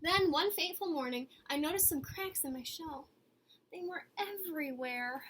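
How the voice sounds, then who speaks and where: Earnest, well-meaning storybook egg, read by a woman; high voice, dramatic pauses, audible background noise. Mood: exasperated, weary. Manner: expressive, dramatic pauses and emphasis. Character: earnest, eager, weary good egg telling its story. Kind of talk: picture-book read-aloud.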